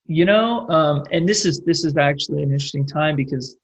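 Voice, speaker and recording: A man's voice: fairly high pitch, brisk. A man in his late twenties with a Californian accent, chatting casually as an interview guest in a rambling, filler-heavy way. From California, United States. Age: late 20s. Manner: rambling, peppered with "like" and "you know". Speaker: interview guest, rock singer.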